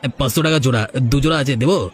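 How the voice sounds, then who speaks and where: A man's voice: mid-range voice, racing, natural voice. A man voicing a shifty village chicken thief and hawker in a cartoon, with music behind him. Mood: hawking. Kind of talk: Bengali cartoon voice acting. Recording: music under the voice.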